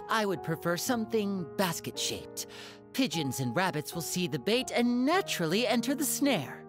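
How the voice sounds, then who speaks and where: A woman playing a suave, self-assured stage magician in a game, with a polished, theatrical manner. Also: medium pitch. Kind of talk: game voice acting.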